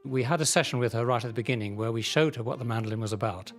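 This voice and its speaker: A man, an amateur musician, speaking in a measured, articulate way in an interview. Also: mid-range voice, soft background music. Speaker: amateur mandolin player. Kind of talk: informal interview.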